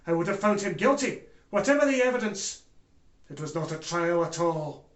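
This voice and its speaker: A man performing a theatrical monologue as an anguished, remorseful German former judge testifying in court with grave, deliberate oratory. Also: sits high, animated. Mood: solemn, remorseful. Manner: grave, deliberate oratory with swells from hushed to loud. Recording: echoey room.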